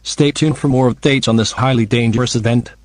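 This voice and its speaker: Dry mid-pitched synthetic male announcer. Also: fairly even intonation, fairly quick, no echo. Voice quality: synthetic text-to-speech voice. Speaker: emergency alert announcer. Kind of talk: scripted emergency broadcast bulletin.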